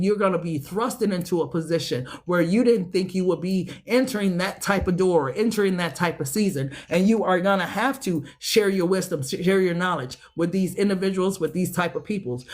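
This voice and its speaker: A woman giving a tarot reading to her viewers, slangy and profane, preaching with loads of enthusiasm and a voice that swings high and low. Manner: talks straight to viewers as a group. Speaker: tarot reader. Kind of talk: casual tarot reading to camera.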